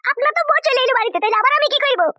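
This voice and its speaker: A woman's voice: very high-pitched, animated, racing, sharp tone, no echo, exaggerated voice. A woman voicing an indignant, foul-mouthed wife in a comic cartoon. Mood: pleading. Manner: scolding, threatening, heavy rustic swearing.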